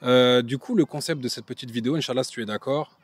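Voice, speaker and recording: A man's voice: medium pitch, quick pace, a little hiss or hum. Friendly man doing street interviews. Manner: friendly, sprinkles Arabic blessings. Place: outdoors on a street.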